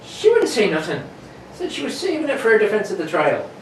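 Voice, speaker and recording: A woman's voice: medium pitch, huge pitch swings, a little fast. A woman playing a plain-spoken, deferential police sergeant, colloquial and matter-of-fact, in an old radio mystery. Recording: roomy, distant, faintly noisy recording.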